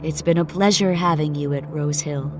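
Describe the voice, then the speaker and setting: A woman's voice: deep voice, steady tone, normal speed, over music, voice pushed down, close to an everyday voice. A woman voicing a kindly, matter-of-fact male caretaker with polished customer-service patter, in a dramatised ghost story. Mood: smooth sales pitch. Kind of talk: dramatised audiobook dialogue.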